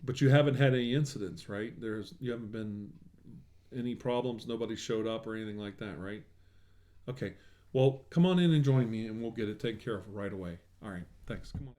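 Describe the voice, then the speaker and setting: A man's voice: on the low side, fairly quick, warm tone. A man doing an ASMR roleplay as a medical examiner, talking in a reassuring, attentive bedside manner.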